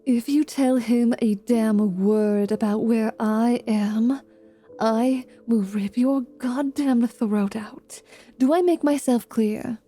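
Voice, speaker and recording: A woman voicing a haughty, threatening half-vampire in a fantasy ASMR roleplay, with a sardonic, sharp-tongued manner. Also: a little high, takes it slowly. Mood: irritated, menacing.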